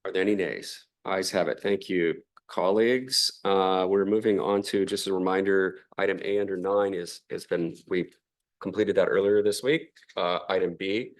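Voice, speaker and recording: Deep-voiced man chairing a council meeting. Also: steady tone. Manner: measured, procedural, slightly halting. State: losing his voice, clearing throat. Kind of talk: formal meeting procedure.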